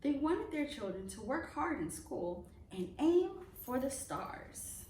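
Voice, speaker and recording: High-voiced woman reading a storybook, echoey room. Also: very animated, slow pace, a little mellow. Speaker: storybook reader for children. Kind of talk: read-aloud of a children's picture book. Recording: echoey room, distant mic, faint noise.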